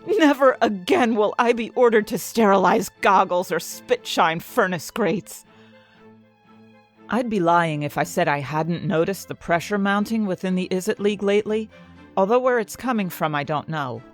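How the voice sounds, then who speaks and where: A woman's voice: mid-range voice, normal speed, lightly acted. A woman playing an ambitious, indignant fantasy inventor, narrating her own story expressively and articulately in an audio drama. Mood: indignant, boastful. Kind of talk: first-person dramatic audio fiction narration. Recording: music bed behind the voice.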